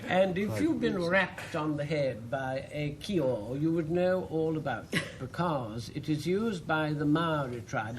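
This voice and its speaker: A man's voice: mid-range voice, a little hiss or hum. A man on a panel game explaining a word in an arch, relishing, theatrical way.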